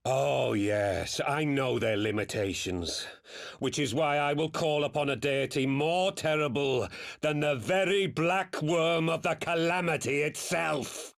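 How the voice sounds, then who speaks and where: An actor playing a fanatical, embittered rebel commander in a fantasy game, with a grandiose, theatrical delivery. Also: male voice, fairly high pitch, creaky. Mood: menacing. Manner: grandiose, bitter, slow dramatic declamation.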